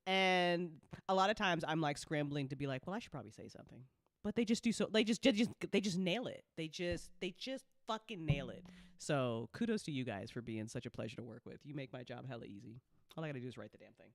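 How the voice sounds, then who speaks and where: Animated, playful woman chatting, dry sound. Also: low-pitched, fast, dry recording. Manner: gushing, playful, swears freely. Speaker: audio drama writer and after-show host. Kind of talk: casual panel chat.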